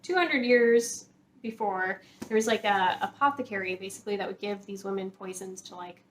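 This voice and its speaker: A woman's voice: mid-range voice, moderate pace, poor audio. A woman talking casually to viewers as a book vlogger, gushing and enthusiastic, often dropping "like" into her sentences. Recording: clear background noise, room ambience.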